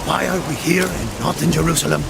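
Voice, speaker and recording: Gravelly, doubtful young knight. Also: male voice, a little high, lively intonation, a little fast. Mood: wry, uneasy. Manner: questioning, wry. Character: doubtful, sardonic young male crusader knight. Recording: heavy rain and ambience noise.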